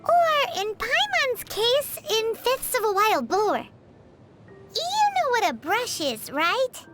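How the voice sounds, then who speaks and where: A woman voicing a tiny, chatty, cheeky floating fairy companion with a squeaky childlike voice in a video game. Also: big pitch swings, takes it slowly, fairly bright tone, soft background music, exaggerated voice. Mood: perky. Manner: speaks of self in third person, perky and emphatic.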